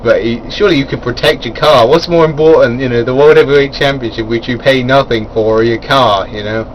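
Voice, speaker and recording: A man's voice: medium pitch, fairly quick, muffled recording, a little hiss or hum. A man giving a casual, rambling spoken review of a wrestling event, full of fillers and chuckling at his own asides.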